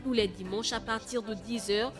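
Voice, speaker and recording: A woman voices a scripted station promo in a measured delivery. Clear music plays under her. Key words come back as echoed repeats. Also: fairly high pitch, steady tone. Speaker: station jingle announcer.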